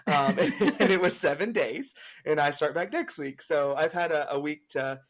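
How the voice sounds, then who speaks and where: A man's voice: a little high, normal speed. A man appearing as a guest on a podcast, chatting casually with many fillers and laughs. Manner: laughs often, with frequent fillers.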